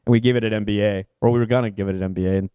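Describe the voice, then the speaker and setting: A man in his forties co-hosting a podcast, talking quickly with jokes and swearing. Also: on the low side, steady tone, warm tone, clean tone, no room sound. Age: 40s. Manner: quick, joking, swears freely. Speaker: podcast co-host. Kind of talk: casual chat.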